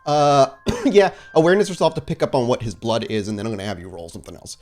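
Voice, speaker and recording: A man's voice: medium pitch, expressive, fast, darkish tone, faint music behind. A man acting as storyteller for a tabletop roleplaying game, narrating in a descriptive, cinematic way. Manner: descriptive, cinematic scene-setting.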